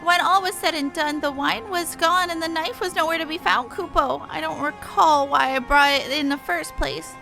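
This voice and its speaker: A woman's voice: high voice, moderate pace, gravelly, hardly any pauses, music in the background. A woman voicing a forlorn little male moogle from a video game, in a plaintive, wordy manner. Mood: rueful. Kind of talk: reading game dialogue in character.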